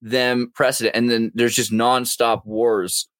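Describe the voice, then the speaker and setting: A man's voice: mid-range voice, unhurried, no echo, studio quality. A man hosting a podcast in casual, jokey chat, slipping into mock voices now and then. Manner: animated, jokey, mimics voices in asides. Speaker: podcast host.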